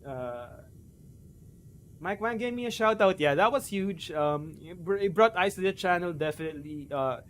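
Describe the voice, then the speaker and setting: A man in his thirties with a Filipino accent, talking through a game tutorial and chatting with viewers on a live stream, in a relaxed, chatty way with many fillers. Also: high voice, moderate pace, a little hiss or hum. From Philippines. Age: 30s. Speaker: gaming streamer.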